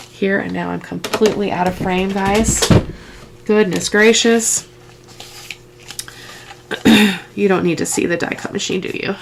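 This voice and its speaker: A middle-aged woman with a croaky, stuffy head-cold voice, chatting through a crafting tutorial step by step with casual asides. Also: lowish voice, slight background noise. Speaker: craft vlogger.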